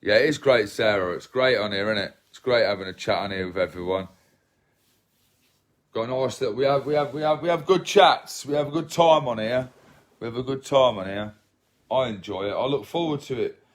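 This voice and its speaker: A man in his thirties from England, hosting a casual livestream from his phone at home, soft-spoken and rambling, often repeating himself as he reads comments. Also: mid-range voice, brisk. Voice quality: relaxed voice. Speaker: livestream host. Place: small living room at home, indoors. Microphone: phone held at arm's length.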